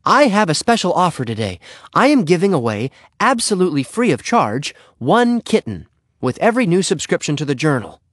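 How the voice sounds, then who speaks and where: A man's voice: on the high side, very animated, fairly quick, a bit bright, no echo, studio quality, voice pushed up. A man voicing an earnest, eager young schoolboy trying to sound businesslike in a storybook reading. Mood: eager pitch. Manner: hesitant, flustered salesmanship.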